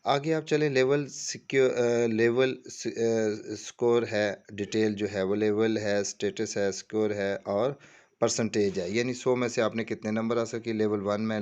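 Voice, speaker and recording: Pakistani guy, lowish voice, casual tutorial, explains step by step, drops in english terms, keeps checking you follow. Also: a little mellow, dull audio. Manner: repeats reassuring check phrases, mixes in English terms. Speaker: tutorial presenter. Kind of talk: informal screen-walkthrough tutorial, explaining step by step.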